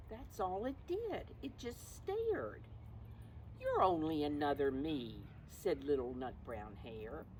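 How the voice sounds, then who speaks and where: Female preschool storyteller, teacherly and simple, very varied intonation, recorded in a backyard with clear ambient noise. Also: low voice, low-quality recording. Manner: simple teacherly phrasing, questions to young listeners. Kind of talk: picture-book read-aloud with explanations and questions. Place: outdoors in a backyard. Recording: clear outdoor background noise.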